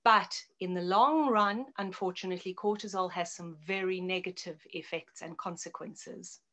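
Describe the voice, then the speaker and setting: A woman's voice: fairly low pitch, normal speed, sharp tone. A middle-aged woman with a South African accent, giving explanatory interview answers as a health adviser in an articulate way. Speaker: guest naturopath and health adviser.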